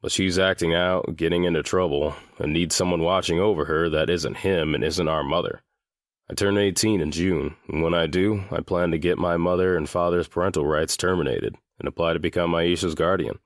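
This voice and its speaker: Man playing a very deep, guarded teenage boy. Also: no room sound, clean studio sound. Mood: frank, determined. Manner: deliberate, matter-of-fact, swears now and then. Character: guarded seventeen-year-old boy recounting his hard past. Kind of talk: full-cast audiobook character acting.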